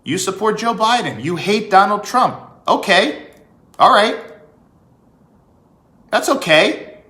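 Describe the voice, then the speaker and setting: Emphatic, slow-paced man in echoey room. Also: high voice, expressive, pushed and bright. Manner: emphatic, with rhetorical questions and deliberate pauses. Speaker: vlog host. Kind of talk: opinion monologue to viewers.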